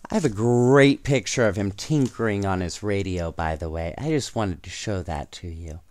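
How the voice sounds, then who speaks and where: A man reading a children's storybook aloud in an expressive, unhurried way, with casual asides. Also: deep voice, dry recording. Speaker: storybook reader.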